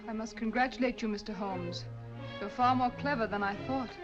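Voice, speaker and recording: A woman's voice: on the high side, fairly quick, natural voice. A woman playing a silky, scheming villainess. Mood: mocking. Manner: silky, condescending, commanding. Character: cool, scheming female criminal mastermind. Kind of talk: 1940s film acting. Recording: dull lo-fi 1940s film soundtrack with music.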